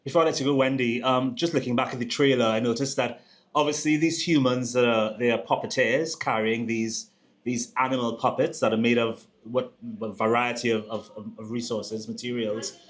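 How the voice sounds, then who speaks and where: A man's voice: medium pitch, steady tone, normal speed. A man hosting an interview, asking long conversational questions and searching for words with fillers. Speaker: host.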